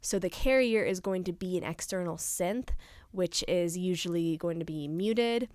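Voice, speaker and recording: A young adult woman presenting an informal software tutorial, explaining things casually step by step. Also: fairly low pitch, moderate pace, no room sound, studio quality. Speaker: tutorial presenter, studio intern.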